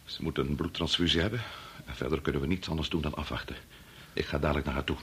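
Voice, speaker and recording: A man's voice: a bass voice, fast talker, fairly bright tone, a little hiss or hum. An actor playing a middle-aged, preoccupied and domineering doctor and father in a Dutch radio drama, muttering or curt. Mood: grave, strained. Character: preoccupied, domineering male doctor-scientist, father of a grown son.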